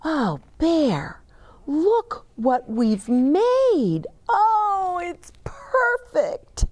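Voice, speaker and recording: A woman's voice: very high-pitched, big pitch swings, drawn-out words, a little mellow, pitched above the performer's own voice, full character voice. A woman voicing an eager, hopeful young boy in a children's storybook, exclaiming plaintively. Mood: delighted. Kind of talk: children's storybook read-aloud.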